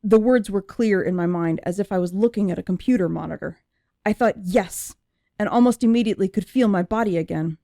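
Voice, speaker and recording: A woman voicing an anguished young female android who is searching for herself, in emotive, deliberate first-person audiobook narration. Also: mid-range voice, gravelly, dark tone, no room sound, studio quality, natural voice. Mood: tense, awed.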